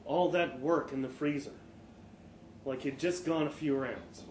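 A man giving an expressive live reading of a first-person short story, with dramatic pauses and the odd stumble. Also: on the high side. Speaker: story reader. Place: live storytelling event in a pub room. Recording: echoey live venue, faint background noise.

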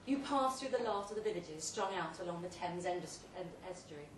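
A woman giving an emphatic, deliberately phrased dramatic reading of a second-person short story at a live event. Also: lowish voice, expressive. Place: indoor pub event, before an audience. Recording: roomy live pub recording, distant mic, faint noise.

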